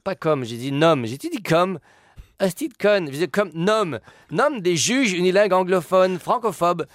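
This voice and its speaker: A man's voice: high-pitched, lively intonation, normal speed, dry recording, clean studio sound. A Quebec man playing a pompous, haughty intellectual in a comic radio monologue, with theatrical, affected diction, indignant and rambling. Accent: Quebec French. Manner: theatrical, affected formal diction with crude slips.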